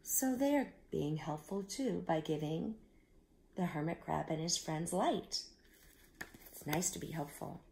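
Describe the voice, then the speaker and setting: A woman reading a picture book aloud to young children, expressive, with simple explanatory asides. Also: low voice, measured pace, vocal fry, a little hiss or hum. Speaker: children's storyteller.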